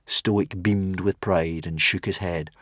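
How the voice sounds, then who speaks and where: A man's voice: fairly even intonation, slow pace, no echo, studio quality. A man voicing a proud, boastful Viking chief speaking of his wife. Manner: booming, proud.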